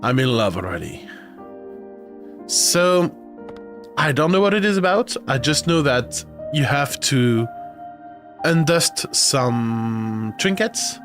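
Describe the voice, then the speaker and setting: A young man with a cold, his throat rough and nose stuffed, chatting on a live stream in a self-mocking, loosely profane way. His pitch swings freely up and down from a mid-range base as he reacts and rambles. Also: big pitch swings, slow, pauses often, music in the background. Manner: chatty, swearing, self-mocking, with filler sounds. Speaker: streamer playing a video game. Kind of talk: casual live-stream chat.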